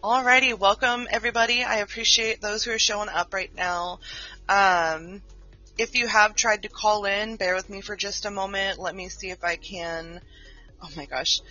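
Chatty podcast host, bright voice. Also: female voice, medium pitch, fairly even intonation, normal speed, dull audio, faint music behind. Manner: chatty, apologetic, with frequent fillers. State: voice affected by wildfire smoke. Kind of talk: casual live-stream chat.

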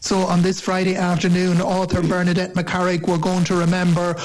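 A man's voice: high-pitched, completely flat delivery, fairly quick. An Irish man presenting a community radio show, interviewing a guest with effusive, formal courtesy.

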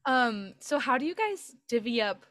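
A woman's voice: a little high, very animated, normal speed, a bit bright. A woman interviewer asking questions in a casual, slangy, informal way. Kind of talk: casual chat.

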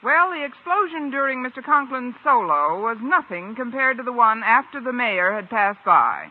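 An American actress playing a quick-witted, dryly wisecracking schoolteacher in a 1950s radio comedy. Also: female voice, fairly high pitch, big pitch swings. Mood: wry. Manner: dry, wisecracking. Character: wry, quick-witted adult female schoolteacher. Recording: vintage 1950 radio, narrow muffled band.

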